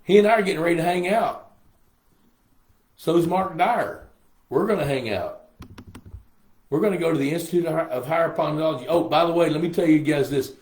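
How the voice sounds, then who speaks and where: An older man, a little hoarse, chatting casually with livestream viewers in a folksy way. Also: on the high side, a little fast, pauses often, a little mellow. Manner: chatty, folksy, reads viewer comments aloud. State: hoarse from a lingering cough. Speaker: host. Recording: roomy echo on a live stream.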